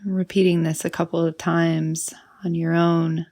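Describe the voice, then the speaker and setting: Slow, even-toned woman guiding meditation. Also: on the low side. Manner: soothing, unhurried, often trailing into breathy softness. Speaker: meditation guide. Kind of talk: guided meditation.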